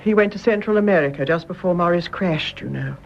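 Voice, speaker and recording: An actress playing a devoted older mother and gracious hostess in a British radio drama, speaking in a measured, genteel British way. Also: fairly low pitch, creaky. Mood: wistful, concerned. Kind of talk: British stage-play radio drama acting. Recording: dull audio, faint background noise.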